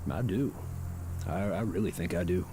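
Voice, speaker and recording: An actor playing a gruff, boozy middle-aged rebel in a video game, talking in a teasing, folksy way. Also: male voice, sits low, moderate pace, natural voice. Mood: wistful. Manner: teasing, folksy, calls the listener "young blood". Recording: game audio under loud music.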